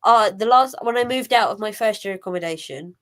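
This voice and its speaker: A young woman from in her twenties, speaking at a mid pitch. She talks as a panelist, rambling a little and sounding fed up as she tells her story. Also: fairly quick, a bit bright. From Essex, England. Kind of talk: casual panel chat.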